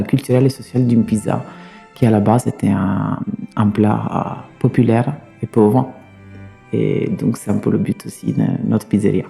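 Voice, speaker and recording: A man's voice: medium pitch, creaky, mellow. A man from Sicily with an Italian accent, a native Italian speaker, answering interview questions in a hesitant way with many fillers and restarts. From Sicily, Italy. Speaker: pizzaiolo guest.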